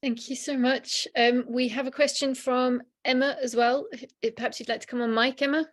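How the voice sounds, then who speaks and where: Higher-voiced woman hosting a webinar. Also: fairly even intonation, moderate pace. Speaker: webinar host. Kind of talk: moderating a webinar.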